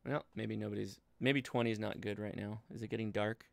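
An American man in his thirties talking through a casual livestream tutorial, conversational and halting as he thinks aloud. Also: lowish voice, fairly even intonation, gravelly, no echo. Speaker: livestream host and ham radio instructor. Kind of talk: casual live tutorial with chat replies. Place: indoor home studio desk, livestream.

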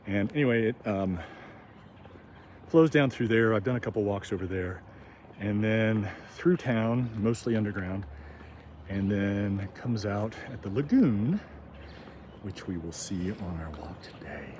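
A man narrating casually while walking through town outdoors, rambling and halting with many ums and restarts. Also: lowish voice, darkish tone. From United States. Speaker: walking tour vlogger. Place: outdoors on small-town streets. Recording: outdoor street noise and wind. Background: street ambience, passing vehicles.

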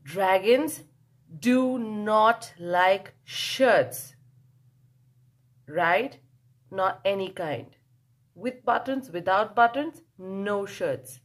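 A woman reading a picture book aloud to children, expressive and playful, drawing out key words for emphasis. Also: mid-range voice, drawn-out words, pauses often. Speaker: children's storyteller.

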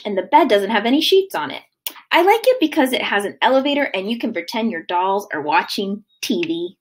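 A woman in her thirties, a kindergarten teacher, reading a children's book aloud with expressive, sing-song delivery. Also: fairly high pitch, husky. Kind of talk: read-aloud of a children's book to young kids. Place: living room at home, indoors.

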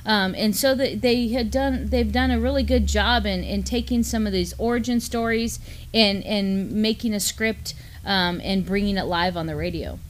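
A middle-aged American woman co-hosts an informal news chat. Her voice sits at an average pitch, and she speaks in a conversational, somewhat halting way. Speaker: news show co-host. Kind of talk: casual news discussion. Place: indoor studio at a table.

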